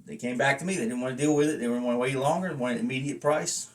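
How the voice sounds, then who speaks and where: A man's voice: mid-range voice, quick pace, background noise. A man talking casually to camera in a rambling review, with a blunt, self-deprecating manner. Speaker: vlogger and wrestling belt collector reviewing a belt.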